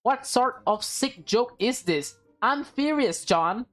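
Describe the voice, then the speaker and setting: A woman's voice: a little high, lively intonation, slow pace, a bit bright, faint music behind, pitched above the performer's own voice. Woman reading a stern, indignant young maid. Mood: furious.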